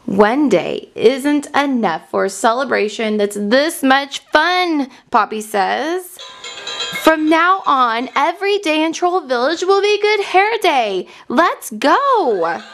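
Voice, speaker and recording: Expressive, high-voiced woman reading to children. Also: slow pace, faint music behind. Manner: excited, expressive, enunciating for children. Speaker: storyteller presenting a children's read-aloud. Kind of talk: children's storybook read-aloud.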